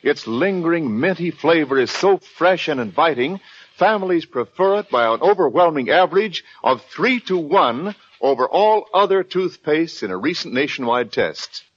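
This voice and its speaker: A man's voice: on the high side, lively intonation, normal speed. An American man announcing in polished, emphatic 1940s radio salesman style. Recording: muffled old 1940s radio recording, music behind.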